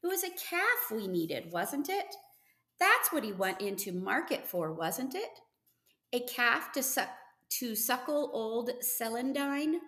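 A woman voicing an eager, gentle thirteen-year-old farm boy in a storybook reading, earnest and excitable. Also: on the high side, slow, echoey room, pitched above the performer's own voice, full character voice. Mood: insistent.

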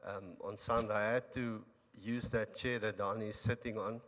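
A man giving a halting, unscripted testimony in a church, with many restarts. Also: fairly low pitch, steady tone, fairly bright tone. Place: church hall. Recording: long hall reverb.

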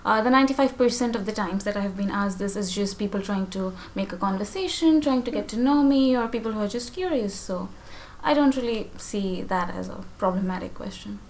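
A woman from India answering interview questions in a relaxed, matter-of-fact way. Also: medium pitch, brisk, slight background noise.

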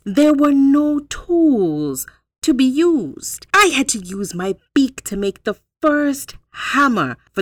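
Storybook parrot, played by a woman: proud, emphatic, very animated pitch, unhurried. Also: no echo, exaggerated voice. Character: boastful parrot claiming to be oldest.

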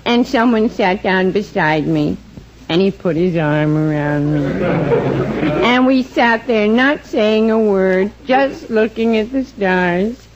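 An actress with an American accent playing a dim, dreamy young housemaid with a deadpan, naive manner, in old-time radio comedy style. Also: female voice, mid-range voice, slow. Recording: muffled, noisy vintage radio recording.

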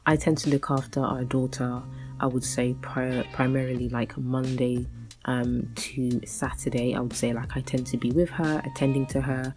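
A woman's voice: very deep voice, flat delivery, normal speed, a little mellow, soft background music. A woman in her thirties hosting a solo podcast, chatting casually and rambling with many fillers and restarts. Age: 30s. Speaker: podcast host.

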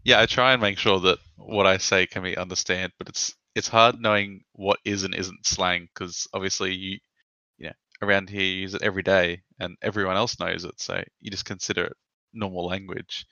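An Australian man with a very low, flat voice that sounds bright and clear. He asks questions in a relaxed, easygoing way. The recording is dry and a little muffled. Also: moderate pace, no echo. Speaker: interviewer. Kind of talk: casual interview chat.

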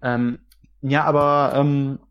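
A man's voice: medium pitch, little pitch movement, takes it slowly, clear, resonant tone, muffled recording. A man talking casually as a podcast guest, explaining things in an articulate way. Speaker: podcast guest, hobby audio drama maker.

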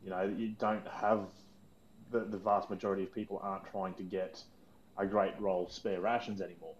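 Low-voiced man explaining, faint hum. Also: flat delivery, brisk, lo-fi sound. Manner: teasing, explains at length. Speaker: podcast co-host. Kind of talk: casual gaming chat.

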